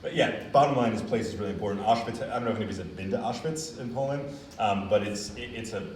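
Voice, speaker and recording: Deep-voiced Philadelphia man in an echoey hall. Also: quick pace, a little mellow, slight background noise. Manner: earnest, emphatic, with many fillers. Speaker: author at a reading. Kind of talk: casual Q&A answer. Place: university reading event hall. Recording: roomy, distant, faint ambience.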